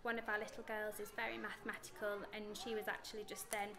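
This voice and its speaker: A woman's voice: medium pitch, level tone, fast talker. A British woman in her thirties, a primary school teacher explaining her classroom practice in an interview.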